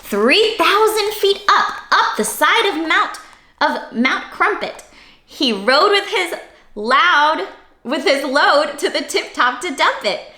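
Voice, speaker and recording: A woman reading a children's storybook aloud in an expressive, dramatic way, addressing young listeners directly. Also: very high-pitched, takes it slowly. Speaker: children's read-aloud host. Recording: roomy, echoey sound.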